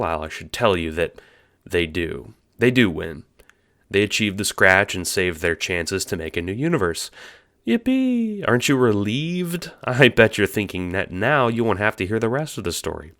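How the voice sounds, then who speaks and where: A man playing a sly, theatrical puppet-master narrator who toys with listeners in an audio drama. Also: low-pitched. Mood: gleeful, taunting. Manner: teasing, mock-cheerful, swooping between hushes and exclamations. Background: music.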